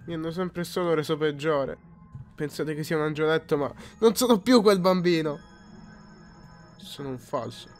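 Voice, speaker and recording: A troubled teenage cartoon boy, acted by a man in a fan dub, defensive and desperate. His delivery is highly emotional, veering between brittle cheer and outbursts, with faint music behind him. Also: normal speed, close to an everyday voice. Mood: anguished, desperate.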